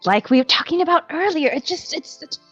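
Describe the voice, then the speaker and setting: A woman playing in a tabletop game, chatting casually with lively asides and fillers. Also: sits high, fairly quick. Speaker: player. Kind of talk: casual tabletop planning chat. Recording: dull lo-fi mic with faint music.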